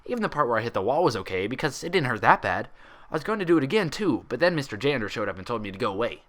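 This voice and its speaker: A man voicing a hyperactive, cheerful young female cartoon pony who rambles excitedly through stories. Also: medium pitch, rapid-fire. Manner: bubbly, excited rambling storytelling.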